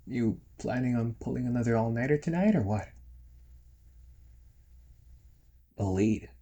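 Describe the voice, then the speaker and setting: A man's voice: on the low side, warm tone, slightly rough, exaggerated voice. A man playing a playful, nagging young ghost brother in a casual roleplay audio drama, teasing and chatty. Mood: curious. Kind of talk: casual one-sided roleplay audio acting.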